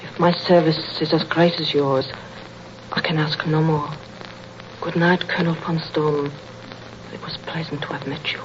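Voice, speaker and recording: A woman's voice: low-pitched, fairly even intonation, a little slow, pauses often. Female spy posing as a baroness, ardent and theatrical; muffled, hissy vintage radio drama. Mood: composed, formal. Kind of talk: 1930s radio melodrama acting. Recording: muffled, noisy old radio broadcast.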